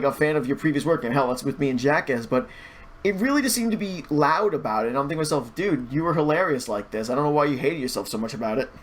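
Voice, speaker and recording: A man chatting casually as a podcast guest, stammering a little and often restarting his phrases. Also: a little high. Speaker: podcast guest, online video creator and fan dubber.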